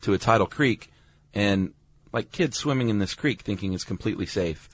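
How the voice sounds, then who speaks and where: Low-voiced American man, casual podcast chat. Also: lively intonation, normal speed. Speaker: podcast co-host. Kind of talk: casual chat explaining history.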